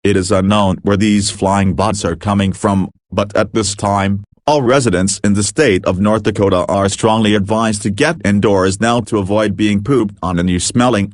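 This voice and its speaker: A man's voice: on the low side, dry recording, clean studio sound. A man narrating a scripted mock emergency broadcast as an announcer, matter-of-fact with casual asides.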